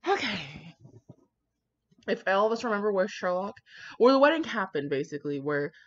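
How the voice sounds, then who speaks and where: A woman's voice: mid-range voice, big pitch swings, normal speed. A woman rambling casually with dramatic asides, brash, slangy and full of swearing as she comments on fan fiction. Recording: dull lo-fi microphone.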